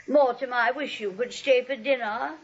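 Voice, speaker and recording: An actress playing a sweet, serene elderly spinster in a 1940s radio comedy, genteel and matter-of-fact. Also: female voice, on the high side, normal speed, breathy edge. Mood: gracious, cheerful. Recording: dull vintage radio recording.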